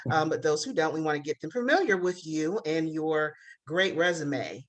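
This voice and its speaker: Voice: mid-range voice, animated, moderate pace. An upbeat host opening and running a casual interview, giving frequent short encouraging reactions.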